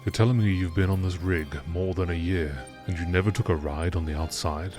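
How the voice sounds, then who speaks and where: A man's voice: low voice, fairly even intonation, a little fast, darkish tone, soft background music, lightly acted. A man voicing a cocky, chummy space rig worker from a game message. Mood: chummy boasting. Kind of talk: reading a game message in character.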